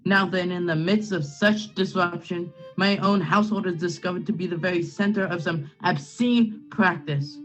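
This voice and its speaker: Dull, lo-fi audio with music clearly underneath carries a man playing a middle-aged Puritan minister. He is stern yet fearful for his position, questioning urgently in formal, archaic phrases, as in a period radio drama. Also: high voice, normal speed, hardly any pauses, lightly acted. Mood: stern, anxious. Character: anxious, self-protective Puritan minister, stern uncle. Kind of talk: period stage drama acting, radio play. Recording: dull lo-fi sound with music behind.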